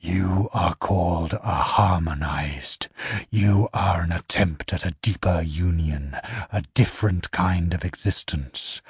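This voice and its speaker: A man voicing a cold, seductive inhuman creature in a spoken story, low and hushed, at times whispering. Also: husky, no echo, clean studio sound, full character voice. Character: sinister seductive infernal creature, calm and cold.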